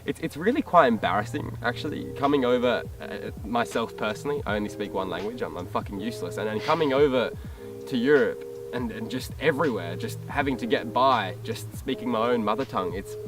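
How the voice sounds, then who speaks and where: A man's voice: animated, a little fast, hardly any pauses. An Australian man chatting casually as a podcast host, in a blokey, sweary, self-deprecating way. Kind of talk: casual chat. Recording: distant mic, music and vehicle rumble behind.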